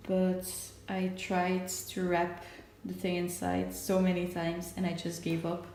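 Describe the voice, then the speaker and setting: Raspy young woman vlogging at home. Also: fairly low pitch, monotone, takes it slowly, darkish tone, reverberant, slight background noise. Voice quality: slightly raspy from just waking up. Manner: chatty, self-narrating while wrapping gifts. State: hiccups. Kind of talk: casual vlog chat. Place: home kitchen and living room.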